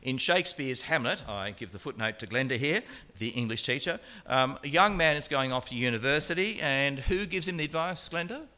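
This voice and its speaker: A man's voice: mid-range voice, expressive, fairly quick, bright, forceful tone. A man preaching a conversational sermon, with wry colloquial humor and rhetorical questions and repeated phrases for emphasis. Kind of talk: conversational sermon on a Bible passage.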